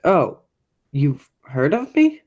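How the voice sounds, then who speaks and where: A sinister grown man played by a teenage girl in an amateur radio play. The voice sits low and gives orders in a threatening, controlling way, as a cruel brother who has come home. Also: very animated, slow, darkish tone, full character voice. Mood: coldly menacing. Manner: commanding, threatening. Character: menacing, vicious grown man, sinister long-lost brother.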